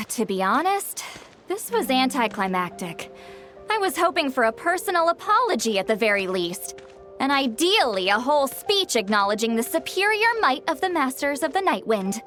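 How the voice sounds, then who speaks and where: High, animated cocky young warrior. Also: female voice, normal speed, faint music behind. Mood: smug, casual. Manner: brash, boastful. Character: cocky, boisterous young female warrior of the Night Wind. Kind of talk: English video game voice acting.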